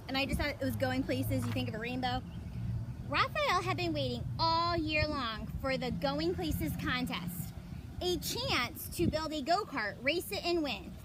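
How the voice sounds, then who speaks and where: A woman with a high voice reads a picture book to young children in the manner of an elementary teacher. She is expressive and chatty, slipping between story and casual explanation. Heavy background noise runs through the recording. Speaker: elementary school teacher reading to pupils.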